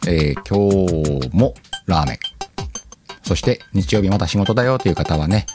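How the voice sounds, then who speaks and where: Low voiced guy doing a chatty radio show, really lively up and down, jokes at himself, music playing behind. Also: moderate pace. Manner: laughs often, talks to himself, self-deprecating banter. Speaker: host of an audio show. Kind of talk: casual chatty solo radio talk, reading listener letters.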